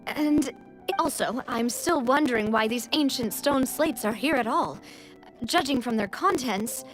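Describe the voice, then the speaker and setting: Game role: actress as young female investigator, high voice, earnest, polite, inquisitive, light music underneath. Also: measured pace, natural voice, poor audio. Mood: reasoning. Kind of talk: video game voice acting.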